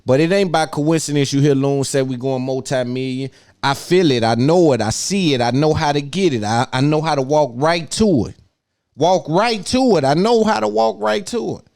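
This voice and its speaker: A man's voice: a little high, normal speed, vocal fry, darkish tone, dry recording, studio quality. A man hosting a podcast, giving an opinionated monologue and repeating phrases for emphasis. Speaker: podcast host.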